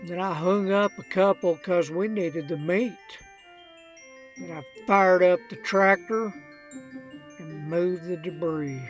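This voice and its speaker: A woman voicing a crusty, wryly unrepentant old redneck man, telling a comic rhymed storybook tale in a folksy, drawn-out way. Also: lowish voice, fairly even intonation, soft background music. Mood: matter-of-fact, sly. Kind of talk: comedic rhymed storybook narration.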